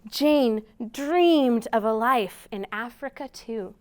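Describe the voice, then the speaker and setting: A woman's voice: on the high side, slow, clear, resonant tone. A woman hosting a storytime for young children, reading aloud expressively and prompting them with simple questions. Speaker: children's storytime host at a library.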